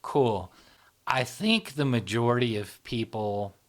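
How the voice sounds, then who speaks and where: A man in casual interview conversation, talking in a relaxed, rambling way with many fillers and restarts. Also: medium pitch, slow. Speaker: channel founder, interviewee.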